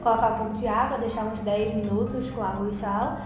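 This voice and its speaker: A young woman with a Northeastern Brazilian, Bahian accent, talking casually and lively as a podcast guest and saying "tipo" a lot. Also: fairly high pitch, brisk. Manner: lively, says "tipo" often. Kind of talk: casual chat. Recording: very echoey room with music.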